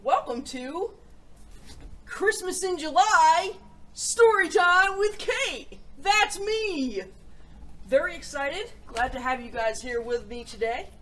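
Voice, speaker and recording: A woman hosting a children's story show, reading aloud in an animated, expressive way. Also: very high-pitched, slow, slight background noise.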